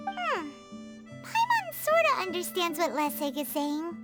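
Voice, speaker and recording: High childlike fairy. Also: female voice, huge pitch swings, a little slow, music in the background, full character voice. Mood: thoughtful. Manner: childlike, refers to self in third person. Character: small childlike female fairy companion, naive and chirpy. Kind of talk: video game cutscene voice acting.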